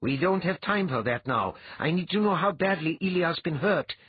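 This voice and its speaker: A man voicing a brisk, commanding female spirit in an audiobook, sharp and impatient, with a husky voice. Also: a little high, animated, dry recording, muffled recording, voice pushed up. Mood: stern. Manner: sharp, imperative.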